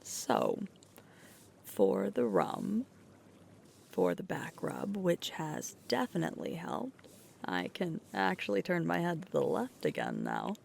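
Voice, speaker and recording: A woman playing a sweet, tired alpha werewolf girlfriend in an intimate roleplay ASMR, murmuring softly and breathily close to the microphone. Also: low voice, huge pitch swings, slight background noise, heavily acted. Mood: affectionate, relaxed. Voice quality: breathy, creaky, often whispering. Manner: slow, soft, unhurried intimate murmur with pauses.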